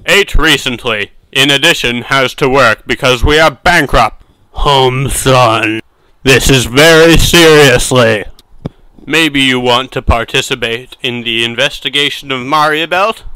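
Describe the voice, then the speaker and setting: A man's voice: medium pitch, measured pace, sharp tone, clear, resonant tone, no echo, poor audio. A man voicing an earnest, exasperated young detective's assistant in an anime fan dub, with stilted, scolding delivery. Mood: exasperated, serious.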